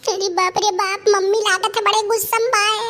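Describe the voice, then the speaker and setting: A woman's voice: extremely high pitch, flat delivery, normal speed, pushed and bright, slight background noise. A woman voicing a cheeky, whiny schoolboy from a village in a cartoon, with an Awadhi accent and a pleading, scheming way of talking. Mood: frightened. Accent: Awadhi, eastern Uttar Pradesh. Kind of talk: dialect comedy cartoon voice acting.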